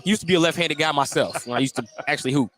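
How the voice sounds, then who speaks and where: A man with a slightly high voice calls a live basketball game on the radio, with noticeable gym noise around him. He follows the action play by play and slips into chatty, joking asides between calls. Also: rapid-fire, fairly bright tone. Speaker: play-by-play sports commentator. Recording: clear background noise, live gym broadcast.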